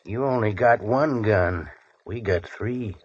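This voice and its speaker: An actor playing a grumbling, menacing claim jumper henchman in an old-time radio western, with surly rustic talk and a husky voice. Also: on the low side, slow pace. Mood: surly, suspicious. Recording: dull, lo-fi old radio recording.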